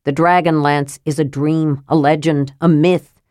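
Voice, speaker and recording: A woman's voice: low-pitched, fairly even intonation, measured pace, dark tone, no echo, clean studio sound. A woman narrator voicing a cautious, practical male half-elf leader in a fantasy audiobook, weary and reasonable. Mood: firm reasoning.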